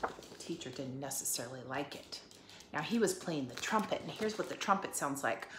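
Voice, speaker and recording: A woman teacher reading a picture book aloud for children, expressively, pausing to put questions to her listeners. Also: sits low, animated. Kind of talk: animated read-aloud for children with asides and questions. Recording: echoey room, faint background noise.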